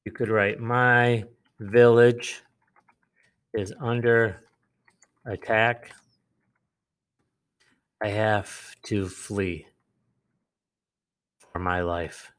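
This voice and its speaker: A man teaching a lesson, explaining a writing task step by step, with pauses and repeated phrases. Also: lowish voice, steady tone, fairly bright tone, smooth. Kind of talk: instructional lesson, modelling a writing task aloud.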